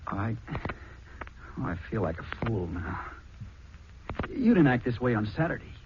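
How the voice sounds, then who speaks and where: An actor playing a shy, artistic teenage nephew who stammers hesitantly and boyishly in a 1940s radio drama. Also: male voice, medium pitch, sing-song, unhurried. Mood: nervous, pleading. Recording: dull, noisy 1940s radio recording.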